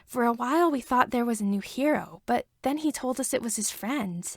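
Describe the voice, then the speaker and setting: A woman voicing a kind, gently reassuring mother in a story reading. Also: on the high side, expressive, moderate pace, no room sound, clean studio sound. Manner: kindly.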